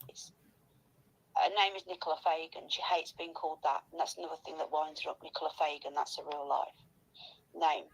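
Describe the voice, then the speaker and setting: A woman's voice: low-pitched, steady tone, fairly quick, creaky, poor audio. A woman chatting casually in a gossipy, emphatic and insistent way.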